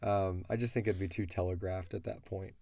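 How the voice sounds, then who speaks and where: A man with a low, creaky voice chats casually on a podcast. He is blunt, thinking aloud as he fields listener questions, and the tone is soft-edged and dark. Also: steady tone, a little fast, no echo. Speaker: audio drama creator answering listener questions.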